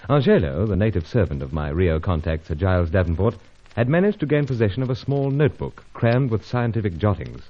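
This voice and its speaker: Smooth british spy hero in an old radio serial, lowish lively voice, urbane and clipped, muffled old recording. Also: male voice. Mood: brisk narration. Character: suave British male secret agent, flirtatious and duty-minded. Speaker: hero and narrator. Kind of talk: old-time radio adventure serial acting. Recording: dull vintage radio recording.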